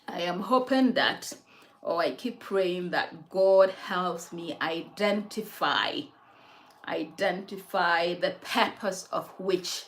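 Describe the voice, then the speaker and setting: A woman from Ghana hosts a casual livestream from her home. She talks at an unhurried, drawn-out pace, speaking reflectively about faith and answering viewers' comments as they come in. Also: fairly low pitch. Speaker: livestream host.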